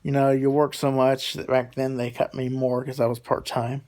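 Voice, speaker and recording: A man's voice: medium pitch, level tone, normal speed, a little mellow. A man in his late twenties chatting casually in a rambling way, repeating himself and drifting between thoughts as he talks to his subscribers. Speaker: vlogger talking to subscribers.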